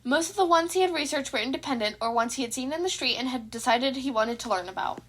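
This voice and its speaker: A woman's voice: high voice, animated, fast, a bit bright, husky. A woman reading a scripted piece of fan fiction aloud as a narrator. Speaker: podfic narrator.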